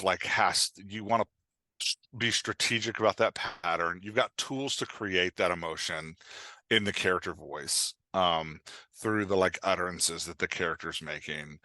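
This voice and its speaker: Bright-voiced, low-pitched man explaining casually. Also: measured pace, dry recording. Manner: informal, rambling, acts out imagined reactions. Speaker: podcast guest, writing coach. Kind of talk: casual explanatory interview talk.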